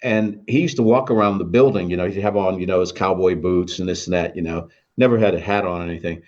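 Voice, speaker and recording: An older American man casually telling an anecdote from his radio career, acting out the dialogue in an animated manner. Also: deep voice, fast talker, highs cut off. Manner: animated, acts out quoted dialogue. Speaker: veteran radio announcer telling career memories.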